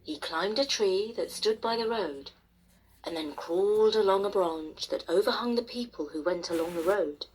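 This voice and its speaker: A woman storyteller narrating a bedtime tale expressively, with dramatic pauses and emphasis. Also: mid-range voice, poor audio. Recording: faint noise behind the voice.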